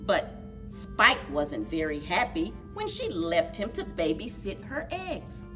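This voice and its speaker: A woman reading a story aloud to young children at a library story time, in an expressive, deliberate manner. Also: medium pitch, recorded from a distance, music in the background. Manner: expressive, deliberate, sing-along encouragement.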